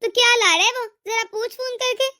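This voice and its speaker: A woman voicing a scolding, sarcastic, demanding mother-in-law in an animated family drama. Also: very high-pitched, brisk. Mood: bossy, expectant. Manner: nagging, sarcastic. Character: scolding, demanding mother-in-law, fiercely partial to her daughter.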